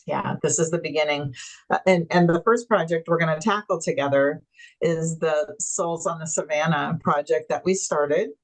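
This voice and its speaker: Woman host, low voice, enthusiastic casual interviewer. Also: gravelly, rough recording. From Tanzania. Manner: enthusiastic, explains things for viewers. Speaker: webinar host.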